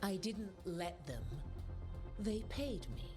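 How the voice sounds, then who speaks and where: A woman's voice: mid-range voice, animated, slow pace. An actress playing a hard-bitten, sneering woman bar owner in a video game, sardonic and challenging. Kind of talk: video game cutscene dialogue. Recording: loud game music behind.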